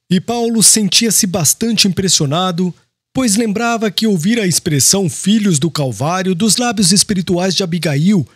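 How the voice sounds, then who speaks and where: Expressive, higher-pitched male narrator. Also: breathy edge, no room sound, clean studio sound. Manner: formal, expressive. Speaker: audiobook narrator. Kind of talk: scripted literary narration.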